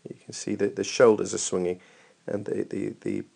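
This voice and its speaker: Man, low voice, strongly creaky, informal coaching commentary, hesitant, thinking aloud. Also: very animated, moderate pace, a little mellow. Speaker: running coach. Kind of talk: informal technique commentary over training video.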